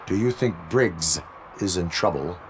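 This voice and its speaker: Game role: stern robot policeman, lowish, formal and deadpan, over noticeable street noise. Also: a little slow. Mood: dutiful. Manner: formal, clipped, deadpan wording. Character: stern, dutiful male cyborg police officer. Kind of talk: video game cutscene acting.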